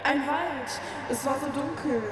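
A woman's voice: high voice, a little slow, heavy background noise. A woman voicing the frightened ghost of a young woman, her voice echoing as from a distance. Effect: ghostly reverb. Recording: heavy hall reverb with ambience.

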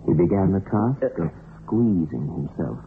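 A man narrating a suspense radio play in a measured, dramatic storytelling manner. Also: sits low, sing-song, creaky, a little hiss or hum. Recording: phone-like narrow-band old radio recording. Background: background music.

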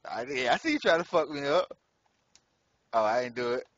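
A man's voice: mid-range voice, expressive, fairly quick, fairly bright tone, no echo, lo-fi sound. A man casually commenting on his gameplay in a slangy, profane way, laughing often. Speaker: gameplay streamer.

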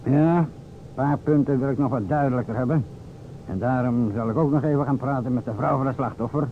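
Man playing earnest police inspector, middle pitched, formal, old radio drama, thin noisy sound. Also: brisk, narrow, tinny audio, close to an everyday voice. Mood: insistent, probing. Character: earnest, insistent male police inspector, politely probing. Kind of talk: old radio play acting.

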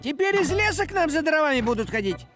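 A man voicing a thrifty, grumbling male cat with sardonic practicality in an animated cartoon. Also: extremely high pitch, quick pace, over loud music. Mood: indignant.

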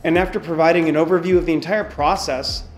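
Man in his forties, lab spokesman reading a letter; slightly high voice, measured and earnest. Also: fairly even intonation, soft background music, rough recording. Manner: measured, earnest delivery to camera. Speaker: company spokesman. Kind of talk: scripted open letter reading. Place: indoor hardware lab.

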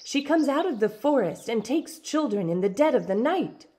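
A woman's voice: a little high, big pitch swings, normal speed, warm tone, slight background noise, voice pushed up. A woman voicing a gossiping, superstitious old village grandmother with a dramatic storytelling manner, in a storybook reading. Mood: ominous warning. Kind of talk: storybook read-aloud.

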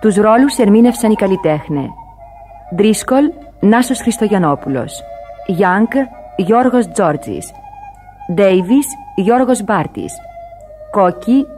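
A woman announces credits for radio in a formal, measured way. Her pitch is medium and faint music plays behind her. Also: mellow.

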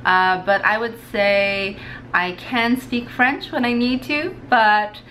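A woman's voice: medium pitch, slow, bright, forceful tone, a little hiss or hum, rough recording. A young woman from Montreal in her twenties, chatting casually and cheerfully to a handheld camera in her bedroom as a lifestyle vlogger. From Montreal, Canada. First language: English. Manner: chatty and upbeat, laughs often. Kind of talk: casual vlog chat to camera. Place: small bedroom at home. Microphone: handheld camera at arm's length.

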